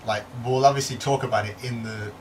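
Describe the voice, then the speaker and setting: A man's voice: medium pitch, fairly even intonation, a little fast, a little mellow. Laid-back man, stammering podcast co-host. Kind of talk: casual chat. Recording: distant mic with faint noise.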